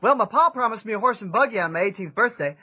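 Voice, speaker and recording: Brash high-voiced country lad. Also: male voice, animated, quick pace, bright, forceful tone, dull audio, faint music behind, heavily acted. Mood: excited boasting. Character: cocky, lighthearted teenage farm boy. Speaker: actor in an audio drama.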